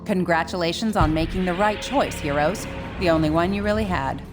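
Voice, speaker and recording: A woman's voice: fairly low pitch, a little fast, natural voice. Smug, cold woman official over music acted. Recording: game music behind voice.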